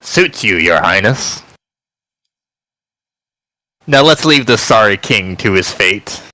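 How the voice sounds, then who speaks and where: Man playing a smug, bright-voiced villainous nobleman. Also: fairly low pitch, expressive, slow, no room sound, voice pushed down, heavily acted, poor audio. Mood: smug, gloating.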